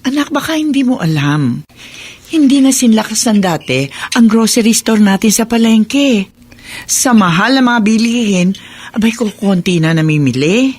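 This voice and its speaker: An actress with a Filipino accent playing a worried, hardworking mother of a teenager in a radio drama, gentle and placating. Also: a little high, expressive, normal speed, slightly rough. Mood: worried pleading.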